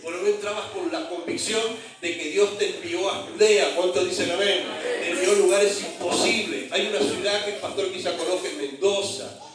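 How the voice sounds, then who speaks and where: A man's voice: high-pitched, normal speed, recorded from a distance, a crowd in the background. A man from San Juan with an Argentine accent, preaching a sermon as pastor, telling stories and calling out to the congregation. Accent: Argentine Spanish. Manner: storytelling with call-and-response to the congregation. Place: church hall. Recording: very echoey hall, voice from afar.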